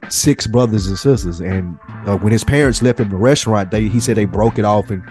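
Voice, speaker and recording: A man's voice: on the low side, fairly quick, a little mellow, soft background music. A man co-hosting a podcast in casual, slangy conversation, telling stories and quoting people as he goes. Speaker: podcast co-host.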